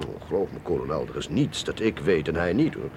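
A genial captain of science in a radio play, with faint vehicle noise behind him. His voice is deep and very lively in pitch, modest and conversational. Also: a little fast, creaky, heavily acted. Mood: candid, reassuring. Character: affable male navy or army captain, modest, candid scientist. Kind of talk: Dutch radio drama acting.

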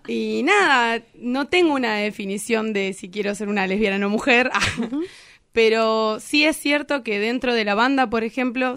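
A woman's voice: mid-range voice, a bit bright, clean tone, no echo. A woman from Argentina with an Argentine accent, chatting informally and jokingly as an interview guest. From Chubut, Argentina. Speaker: guest, cumbia band singer. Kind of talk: casual interview chat.

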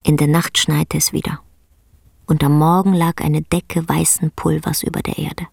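A woman narrating a literary radio drama as a sober, measured storyteller. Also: deep voice, little pitch movement, vocal fry, darkish tone, breathy edge, no echo, studio quality, full character voice.

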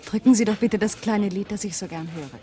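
A woman's voice: medium pitch, fairly quick, mellow, natural voice. An actress playing an insistent, uneasy genteel woman in an absurdist radio play, speaking in repetitive short phrases. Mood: coaxing insistence. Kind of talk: experimental radio play acting. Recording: 1970s radio play with music behind. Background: music.

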